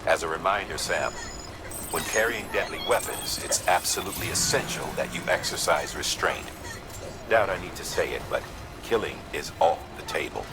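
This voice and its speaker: An actor playing an earnest, authoritative male mission commander who gives measured, instructive briefings in a video game. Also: deep voice, over loud music, lightly acted. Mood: stern. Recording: long reverb, noisy ambience.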